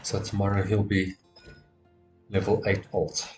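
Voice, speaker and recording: A man's voice: low voice, flat delivery, a little slow, darkish tone. A man giving casual live gameplay commentary, muttering to himself and often repeating words. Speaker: game streamer. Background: game music.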